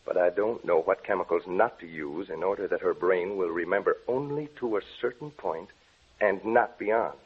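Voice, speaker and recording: An actor playing a brooding, obsessed scientist with a grave, melodramatic delivery in an old-time radio drama. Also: male voice, deep voice, moderate pace, breathy edge. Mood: coldly determined. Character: brooding, obsessed male scientist plotting to kill his beloved. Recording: muffled old radio recording.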